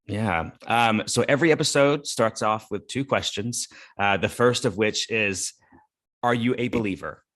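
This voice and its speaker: A man's voice: on the low side, a little slow, a bit bright. A man hosting an interview podcast, asking questions in a friendly, earnest way with frequent fillers. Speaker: podcast host. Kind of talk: interview conversation.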